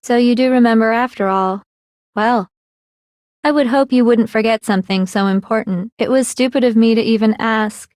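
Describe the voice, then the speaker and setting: A woman's voice: a little high, moderate pace, a little mellow, no room sound, clean studio sound, natural voice. A woman playing a mock-courteous teenage girl mastermind in an anime game, speaking formally, like a teacher quizzing a class. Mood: mock courtesy. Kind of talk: anime video game voice acting.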